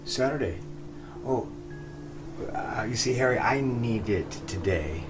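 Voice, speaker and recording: A man's voice: medium pitch, animated, over music. An actor playing a desperate disgraced company boss, a man who halts and pleads, in an audio drama. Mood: tense, evasive. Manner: halting, stammering, pleading.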